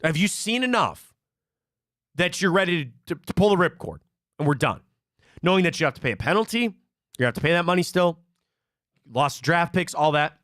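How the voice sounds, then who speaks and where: A sports talk host from Cleveland with a high voice that swoops all over as he tells stories and repeats his points. The sound is dry and close, with little room around it. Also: normal speed, pauses often, no room sound. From Cleveland, Ohio. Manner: animated storyteller, repeats himself. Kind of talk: live sports talk show.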